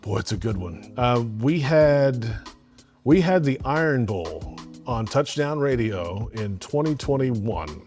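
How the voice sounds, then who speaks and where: A play-by-play radio announcer from Alabama answering interview questions casually, rambling with many fillers and restarts. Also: male voice, medium pitch, animated, slow, a little mellow, soft background music.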